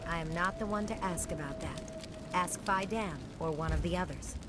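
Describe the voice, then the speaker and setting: A woman's voice: fairly low pitch, normal speed, over music, natural voice. A woman playing a curt, guarded colonist in a science fiction game, serious and clipped. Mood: curt, stressed.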